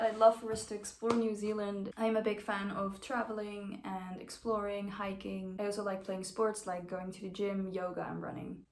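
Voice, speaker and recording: Woman in her twenties, earnest and chatty, average pitch, roomy echo. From Netherlands. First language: Dutch. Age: 20s. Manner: earnest, conversational. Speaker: au pair applicant. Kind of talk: self-introduction video.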